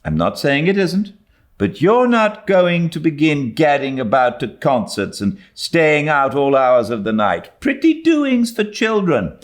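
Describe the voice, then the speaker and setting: A man's voice: a little high, sing-song, measured pace, voice pushed up. A man voicing a stern, sarcastic older woman who scolds curtly in a storybook reading. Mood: disapproving. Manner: curt, scolding, emphatic.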